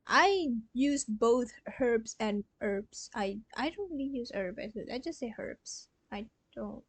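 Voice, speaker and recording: A woman's voice: fairly high pitch, no echo. A young woman from Malaysia chatting casually as she streams a game. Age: young adult. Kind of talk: casual live-stream chat while playing. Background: soft game music.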